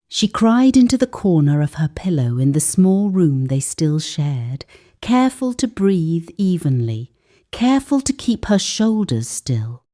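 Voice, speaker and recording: A woman narrating a scripted folktale as a storyteller, in a measured, deliberate and dramatic way with weighted pauses. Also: lowish voice, mellow.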